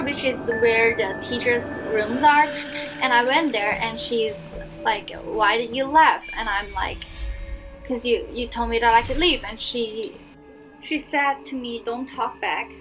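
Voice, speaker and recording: A woman's voice: a little high, poor audio. A young woman with a Cantonese accent, chatting casually and telling stories while she plays, with fillers and restarts. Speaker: gaming vlogger. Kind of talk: casual storytime over gameplay. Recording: voice-over with loud game music.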